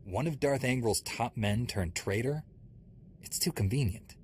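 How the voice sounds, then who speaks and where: An actor playing a calm, resolute Jedi master in a video game, matter-of-fact and protective. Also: male voice, mid-range voice, measured pace, a little hiss or hum, close to an everyday voice. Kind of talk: dramatic video game acting.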